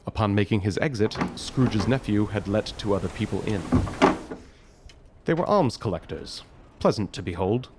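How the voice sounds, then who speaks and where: A man's voice: on the low side, animated, moderate pace, very noisy, lightly acted. A man narrating a Victorian tale as the scripted storyteller of an audio drama. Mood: storytelling.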